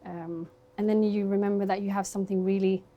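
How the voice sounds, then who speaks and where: A woman in her twenties, raised in Sweden, who works as a dentist. She speaks softly and reflectively in a documentary interview, with frequent fillers, at her dental practice. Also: medium pitch, flat delivery, brisk, lo-fi sound. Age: 20s.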